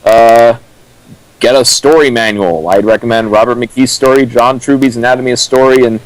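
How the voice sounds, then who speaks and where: A man co-commentating in casual, opinionated discussion, talking haltingly as he explains through examples. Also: medium pitch, fairly even intonation, no echo, rough recording.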